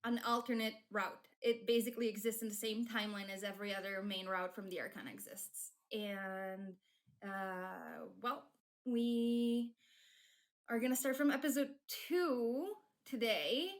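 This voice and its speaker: Chatty Polish-speaking woman streamer, high voice. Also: fairly even intonation, measured pace. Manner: chatty, many fillers and restarts, laughs often. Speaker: streamer and fanfic writer. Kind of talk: casual live-stream chat.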